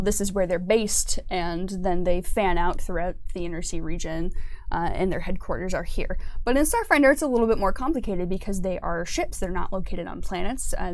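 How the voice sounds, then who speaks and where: A woman's voice: mid-range voice, no echo. A woman speaking unscripted as a guest game designer on a livestream, explaining in a careful, hedging way. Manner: hedging, speculative.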